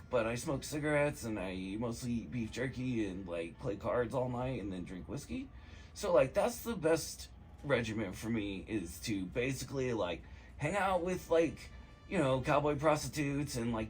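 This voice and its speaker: A man giving a rambling, casual comedic movie recap, slangy and profane, excitable and meandering in his storytelling. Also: mid-range voice.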